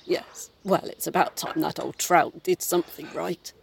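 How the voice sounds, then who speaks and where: A woman's voice: low voice, fairly even intonation, moderate pace, vocal fry, a little hiss or hum. A woman playing the ghost of a formidable, fussy elderly British mother in an audio play, haughty and scolding with proper diction. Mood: breezy, haughty.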